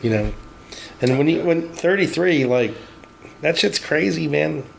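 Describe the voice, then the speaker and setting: A man's voice: fairly high pitch, lively intonation, measured pace, gravelly, a little hiss or hum, poor audio. A man in his forties chatting casually at a tattoo shop, rambling and swearing, often restarting his sentences. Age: 40s. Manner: rambling, profane, many restarts. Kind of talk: casual chat.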